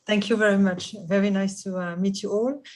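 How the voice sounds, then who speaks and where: A woman's voice: mid-range voice, fairly even intonation, fairly quick. A woman from France with a French accent, introducing herself to an online class with many hesitant fillers over a video call. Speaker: course participant, research manager.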